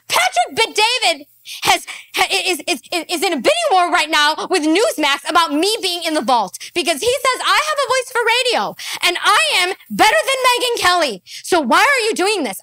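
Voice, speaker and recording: High, bright, theatrical woman. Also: dry recording, clean studio sound. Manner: boastful, indignant, theatrical. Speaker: show host. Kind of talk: satirical character monologue at a desk. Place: studio desk, indoors. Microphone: close desk microphone.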